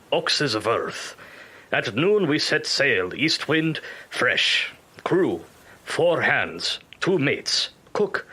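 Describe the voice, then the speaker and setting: An actor playing a weary ship's captain, narrating his log gravely in clipped, deliberate phrases in an audio drama. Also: mid-range voice, sharp tone, exaggerated voice.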